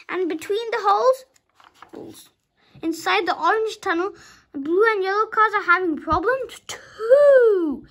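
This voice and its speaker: Young child, very high pitch, bright forceful voice, halting dramatic storybook reading. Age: young child, about five. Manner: halting, stumbles over and rereads words. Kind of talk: dramatic storybook read-aloud.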